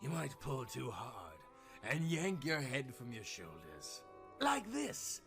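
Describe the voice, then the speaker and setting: Storybook devil, played by a man: short, grinning trickster, theatrical mock courtesy, hugely animated pitch. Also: faint music behind, exaggerated voice. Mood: casual, sly. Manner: theatrical, drawn-out, mock-polite.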